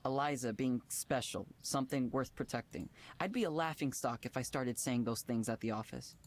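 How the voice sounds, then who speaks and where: A man's voice: mid-range voice, moderate pace, a little hiss or hum, lightly acted. An actor playing an earnest, conflicted young man who leads a tech program, hesitant and apologetic, in naturalistic visual novel dialogue. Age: young adult. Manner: earnest, hesitant, self-doubting.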